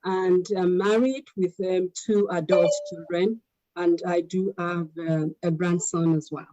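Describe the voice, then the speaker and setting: A woman in her fifties who grew up in Nigeria, introducing herself on a panel with hesitant pauses and ums. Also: fairly low pitch, fairly even intonation, mellow, slight background noise. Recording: dry lo-fi audio.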